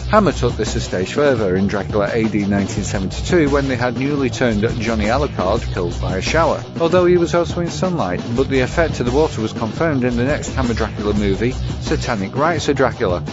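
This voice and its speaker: A man's voice: mid-range voice, expressive, quick pace, hardly any pauses. A man giving a scripted, wry and bookish commentary as a podcast segment presenter. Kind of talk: scripted commentary on vampire lore. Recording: dull lo-fi sound, music behind.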